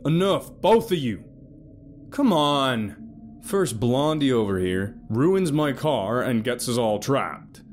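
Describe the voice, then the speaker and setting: A man's voice: lowish voice, animated, a little slow, dark tone, a little hiss or hum. A man voicing a stern middle-aged father who barks orders. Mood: commanding. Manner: barking and commanding.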